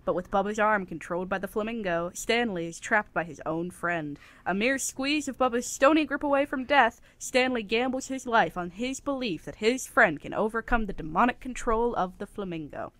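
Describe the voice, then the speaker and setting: A woman's voice: medium pitch, moderate pace, few pauses, a bit bright, dry recording. Woman announcing a radio serial, dry sound. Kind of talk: scripted dramatic recap narration.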